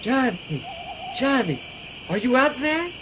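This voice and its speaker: A woman's voice: high voice, big pitch swings, slow, exaggerated voice. A woman playing a timid, hesitant doctor, a criminal's hapless sidekick, in a radio play. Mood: nervous. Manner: hesitant, placating.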